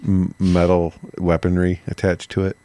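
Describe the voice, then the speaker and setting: A man co-hosting a podcast in casual chat, laid-back with deadpan jokes. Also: sits low, normal speed, dry recording. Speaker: podcast co-host.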